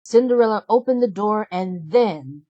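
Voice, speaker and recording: A woman reading a children's storybook aloud as narrator, in an expressive, deliberate storytelling manner. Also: mid-range voice, no echo, highs cut off. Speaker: storybook reader.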